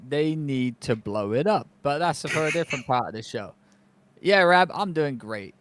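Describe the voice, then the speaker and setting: A man co-hosts a casual esports podcast in a relaxed, slangy voice. He jokes around easily and often stumbles and restarts his phrases. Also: on the high side, moderate pace, noisy background. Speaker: co-host.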